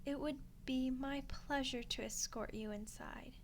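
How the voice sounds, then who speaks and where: A centuries-old vampire queen, played by a woman, speaks in a fairly high, very slow voice. She is gracious and teasing, coaxing her guest with formal courtly phrasing in an ASMR roleplay, over noticeable noise. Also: steady tone. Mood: gracious, reassuring. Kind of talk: ASMR roleplay monologue addressed to the listener.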